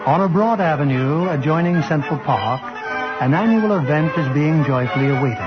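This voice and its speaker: A man hosting and narrating a radio play in scripted 1940s announcer style. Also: on the high side. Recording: muffled vintage radio broadcast, music behind.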